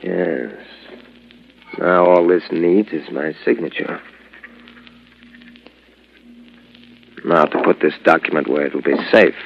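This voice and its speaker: An actor playing a secretive, defensive doctor in an old radio drama, with a gravelly voice. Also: male voice, extremely low pitch, slight background noise, full character voice. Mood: smooth, controlled. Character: secretive male doctor and stepfather, defensive under suspicion. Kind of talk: 1940s radio drama acting. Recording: dull vintage radio recording.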